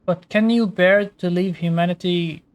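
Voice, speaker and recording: Low-voiced woman casually commenting on a game. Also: steady tone, normal speed. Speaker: gaming streamer.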